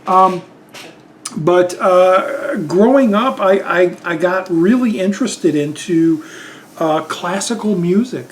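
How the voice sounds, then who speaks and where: A middle-aged man chats loosely to camera, stopping and restarting his sentences with plenty of ums. His voice sits fairly high for a man. A faint hum runs underneath. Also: slow pace. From Chicago, Illinois. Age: 50s. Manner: rambling, hesitant, many fillers and restarts. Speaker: YouTube channel host. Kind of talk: casual tag video monologue.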